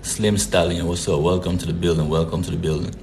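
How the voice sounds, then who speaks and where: A man's voice: sits low, flat delivery, quick pace, gravelly, noisy background. A man from Jamaica hosting a casual live stream, greeting viewers by name in a laid-back, repetitive way. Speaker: livestream host.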